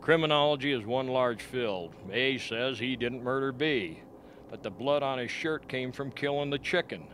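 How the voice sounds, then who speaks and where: A man acting a grim, frank scientist in an amateur radio play, explaining things deliberately. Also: medium pitch, fairly bright tone, slight background noise, natural voice. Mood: measured explaining. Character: grim, frank male scientist doctor at a polar outpost.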